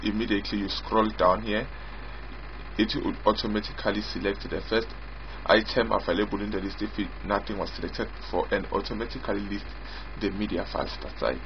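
A man giving an informal screen-recorded software demo as its developer, in a halting way, often repeating and rephrasing. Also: lowish voice, fairly even intonation, a little hiss or hum. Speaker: software developer demonstrating own app. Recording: dull lo-fi mic with prominent music.

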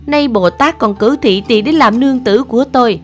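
A woman playing a naive, devout young rich gentleman, earnest and indignant, in an animated folk tale. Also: fairly high pitch, normal speed, soft background music, voice pushed up, lightly acted.

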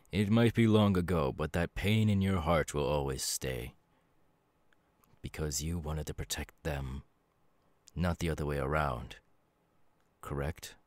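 A man voicing a gentle, melancholy demon who was once human, in a measured, reflective ASMR roleplay with pauses. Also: a bass voice, dry recording. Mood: sympathetic. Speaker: voice actor. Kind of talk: ASMR audio roleplay, slow intimate acting.

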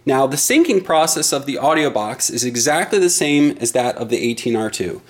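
A middle-aged man giving an instructional product demonstration in a deliberate, explanatory way. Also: mid-range voice, very animated. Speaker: product presenter.

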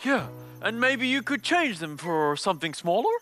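A woman voicing a gentle, timid young male moose who sounds wistful and eager. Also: medium pitch, very animated, normal speed, faint music behind. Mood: hopeful. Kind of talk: children's storybook acting.

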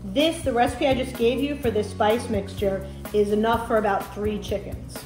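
A woman's voice: on the high side, moderate pace. A middle-aged woman explaining a recipe step by step in a casual cooking demonstration. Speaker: cooking show host. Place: home kitchen, indoors. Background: music, frying and sizzling sounds.

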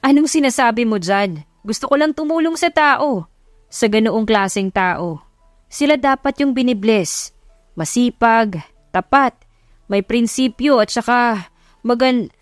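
Babaeng gumaganap bilang seryosong batang boss na CEO. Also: female voice, a little high, no echo, clean studio sound, soft background music, lightly acted. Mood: flustered, defensive. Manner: earnest, measured, mixing in English. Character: young male CEO boss, earnest, smitten, defensive.

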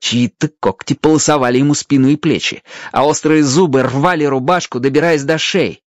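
A man's voice: on the high side, moderate pace, no echo. A man narrating a children's adventure story expressively from a script, as a storyteller.

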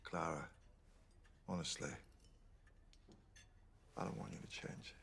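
A man's voice: extremely low pitch, big pitch swings, slow, creaky, fairly bright tone, a little hiss or hum, full character voice. An actor in his fifties playing a newly changed older male time traveller who talks in a blunt, awkward, abrupt way, in scripted TV drama. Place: period restaurant set.

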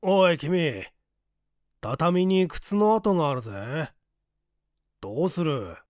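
A man voicing a composed, quick-witted young son in an audiobook story, chatty and breezy. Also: on the high side, very animated, darkish tone, dry recording, studio quality. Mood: calm.

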